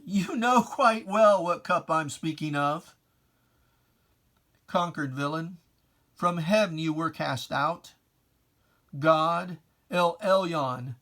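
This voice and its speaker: A person giving a solemn, theatrical recitation of religious verse, declaimed with weighty pauses and a stern, taunting address. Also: mid-range voice, sharp tone. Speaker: reader of poetry. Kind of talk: dramatic recitation of religious rhyming poem.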